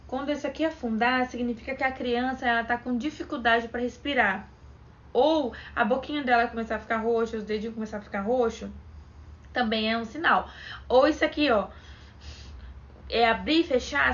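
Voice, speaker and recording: A young woman from Brazil talking casually to camera as a vlogger, chatty, retelling events and quoting what others said. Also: on the high side. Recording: dull lo-fi sound with faint background noise.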